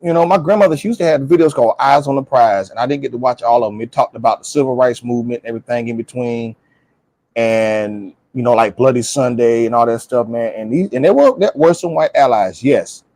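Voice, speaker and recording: A man's voice: medium pitch, a little fast, darkish tone, no room sound. An American man in a casual, opinionated group discussion, speaking emphatically in colloquial language and repeating phrases for stress. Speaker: panelist on a talk show.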